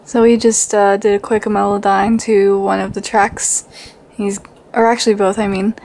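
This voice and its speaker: A woman's voice: mid-range voice, flat delivery, moderate pace, creaky, a little mellow. A woman vlogger talking casually, as if to viewers of her daily vlog.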